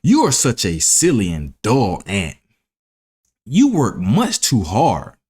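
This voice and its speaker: A man voicing a carefree, mocking grasshopper in a children's storybook, with a teasing, drawn-out delivery. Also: fairly low pitch, wildly swooping pitch, darkish tone, slightly rough, exaggerated voice. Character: carefree, mocking young male grasshopper who loves play. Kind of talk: children's storybook read-aloud.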